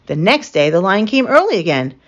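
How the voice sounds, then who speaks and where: A woman reading a picture book aloud as an expressive storyteller for children. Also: mid-range voice, a little fast. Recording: slightly dull, lo-fi sound.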